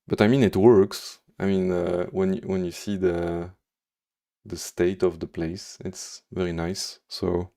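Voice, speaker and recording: Belgian french guy coding live, deep low voice, slow, lots of uhs and humming. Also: little pitch movement, vocal fry, warm tone. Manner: thinks aloud with many fillers, hums to himself while working. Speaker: programmer streaming live. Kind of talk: live coding stream, chatting with viewers.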